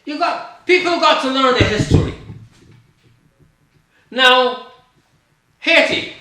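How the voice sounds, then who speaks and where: Older guy from barbados, high bright voice, keeps repeating himself in a big echoey room. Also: slow pace. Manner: emphatic, repeats himself, trails off mid-thought. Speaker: guest, author. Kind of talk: rambling conversational storytelling.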